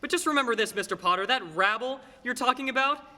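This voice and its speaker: Young male actor as an earnest folksy young man, stammering, high, very bright and forceful, hall reverb, radio drama. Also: heavily acted. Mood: indignant, impassioned. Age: young adult. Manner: halting, stammering, drawn-out folksy delivery. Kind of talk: filmed radio play acting. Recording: echoey hall with long reverb.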